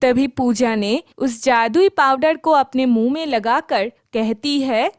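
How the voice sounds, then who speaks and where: A woman's voice: sits high, slow, no echo, clean studio sound. A woman narrating a scripted children's story.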